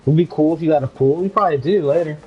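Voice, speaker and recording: A man's voice: a little high, rapid-fire, husky. A young man giving casual, slangy live commentary as he streams a video game. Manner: slangy, informal. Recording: slightly dull stream audio. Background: game music.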